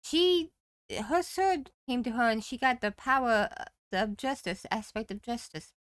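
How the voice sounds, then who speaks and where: A woman's voice: on the high side, lively intonation, a little slow, clean tone, dry recording. A young adult woman giving casual reaction commentary as a video host, recorded close in a room at home.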